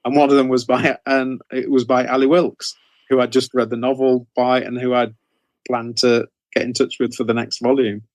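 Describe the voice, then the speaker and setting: A man's voice: medium pitch, flat delivery, normal speed, dry recording. A man giving casual interview answers in a hesitant way, often repeating and correcting himself as he explains. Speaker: interview guest, author and anthology editor.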